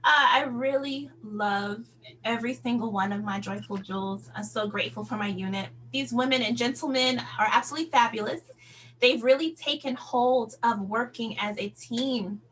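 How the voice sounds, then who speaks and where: A woman's voice: a little high, moderate pace, a bit bright, rough recording. A woman speaking as a sales director on a team call, giving a motivational talk in an encouraging, conversational and emphatic manner. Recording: noticeable background noise, hum.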